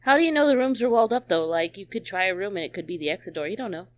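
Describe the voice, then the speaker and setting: A woman with an average-pitched but animated voice comments casually on a game as a co-streamer. Her remarks are short and teasing. The sound is dry, with no room echo. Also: very fast.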